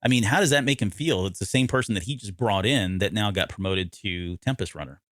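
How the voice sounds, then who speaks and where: Male podcast co-host, lower-pitched, dry close sound, conversational, self-correcting recap delivery. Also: expressive, brisk, fairly bright tone, no echo. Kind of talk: casual discussion recapping an audio drama.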